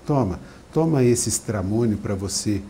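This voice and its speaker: A man's voice: lowish voice, animated, normal speed, dark tone, slight background noise. A man reading aloud and voicing an impatient young servant boy who cajoles. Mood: cajoling.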